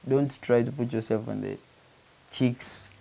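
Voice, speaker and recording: A man's voice: mid-range voice, fairly even intonation, unhurried, creaky, dark tone. A man from Uganda, a musician being interviewed, talking earnestly in a casual chat and often saying "you know" as he restarts phrases. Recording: faint background hiss.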